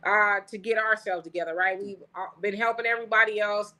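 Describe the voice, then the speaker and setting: American lady hosting a talk show, bright forceful voice, chatty slangy hot takes. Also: medium pitch, steady tone, brisk, low-quality recording. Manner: opinionated, colloquial. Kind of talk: casual political chat, reading viewer comments.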